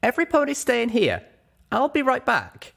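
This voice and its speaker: A resolute young pony mare from a storybook, played by a man, speaks in a raised, much higher pitch. She sounds earnest and protective. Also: wildly swooping pitch, normal speed, creaky, fairly bright tone, exaggerated voice. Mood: reassuring, resolute. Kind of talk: audiobook character voice.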